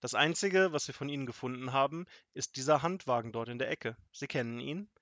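A man voicing a pompous, irascible village police sergeant in a children's storybook, gruff and emphatic. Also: medium pitch, fairly quick, dry recording, close to an everyday voice. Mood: official. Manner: gruff, pompous, shouting in outrage.

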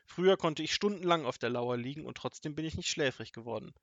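A man voicing a gruff, vengeful middle-aged robber in a storybook reading, growling and boastful, with loud threats. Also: a little high, expressive, quick pace, dry recording, dull audio. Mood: grumbling. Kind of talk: storybook audiobook acting.

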